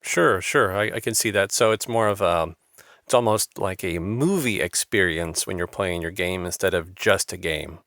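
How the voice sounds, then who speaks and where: A man's voice: sits low, moderate pace, a bit bright, dry recording, studio quality. A man hosting an interview, asking long rambling questions and affirming his guest. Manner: affirming, with filler-laden questions. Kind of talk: casual interview.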